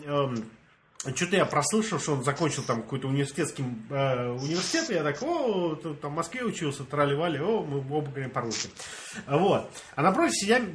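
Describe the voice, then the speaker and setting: A man's voice: fairly high pitch, slight background noise. A young man from Russia, telling a story in casual chat with friends and acting out the dialogue. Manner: animated storyteller, acts out dialogue, laughs often.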